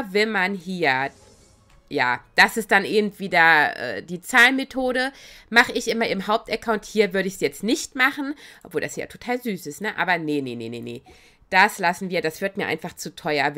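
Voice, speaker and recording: A woman with a cold chats her way through a video game on stream, excitable and giggly. Her slightly raspy voice is bright and lively, jumping up and down in pitch. She sounds close to a desk microphone. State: has a cold. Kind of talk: casual let's-play commentary.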